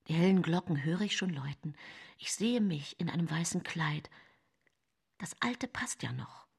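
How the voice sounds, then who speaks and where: An actress playing a scheming, sensual nineteenth-century widow in a radio drama monologue, intimate and breathy in her confiding. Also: deep voice, full character voice. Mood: dreamy, possessive. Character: scheming early-19th-century German widow, sensual and self-satisfied. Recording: music bed behind the voice. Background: music.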